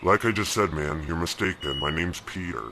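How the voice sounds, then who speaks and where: A man's voice: low voice, moderate pace, pushed and bright, a little hiss or hum. A man acting the lead in an amateur radio drama, urgent and earnest.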